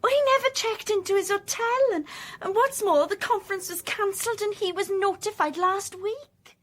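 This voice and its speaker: A fretful British office worker, talks in a radio play. Her voice is extremely high and she sounds anxious and flustered. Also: moderate pace, fairly bright tone. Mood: distressed. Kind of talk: radio drama acting.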